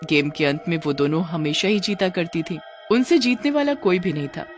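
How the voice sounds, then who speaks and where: A woman's voice: on the low side, expressive, moderate pace, soft background music. A woman narrating a scripted cartoon horror story as its storyteller.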